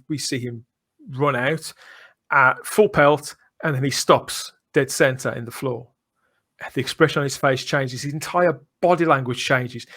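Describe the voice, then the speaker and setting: A man's voice: medium pitch, a bit bright. A man hosting a podcast, chatting enthusiastically with effusive, theatrical turns of phrase. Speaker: podcast host. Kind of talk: enthusiastic podcast chat and sign-off.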